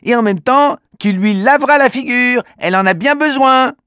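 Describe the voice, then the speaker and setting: A man voicing a grumpy, possessive little boy in a children's storybook, in a shrill, quarrelsome voice. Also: moderate pace, sharp tone, clean tone, no room sound, clean studio sound. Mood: teasing.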